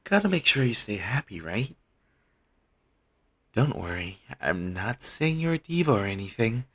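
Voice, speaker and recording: A man playing a caring, teasing talent manager, coaxing a troubled actor in a one-sided audio roleplay with a low-quality sound. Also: big pitch swings, measured pace, fairly bright tone, husky, exaggerated voice. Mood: concerned, coaxing. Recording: low-quality amateur recording.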